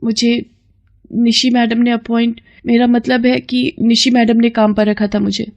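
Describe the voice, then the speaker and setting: A woman voicing an earnest, polite young aspiring model in a moral story, speaking haltingly and mixing English into Hindi. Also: fairly high pitch, level tone, darkish tone, highs cut off, voice pushed up. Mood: frightened, pleading. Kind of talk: dramatised moral-story acting.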